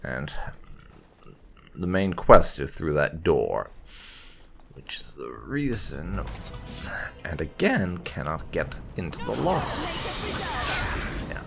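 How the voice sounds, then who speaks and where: A man's voice: a bass voice, sing-song, slow, creaky, long pauses, fairly bright tone, slight background noise. A man giving casual, wry commentary as he plays through a video game. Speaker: gaming video host and player.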